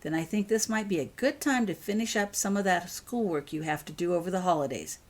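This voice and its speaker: A woman's voice: on the low side, brisk, a little mellow, lightly acted. A woman voicing a firm, caring mother in a storybook reading, decisive and motherly. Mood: brisk.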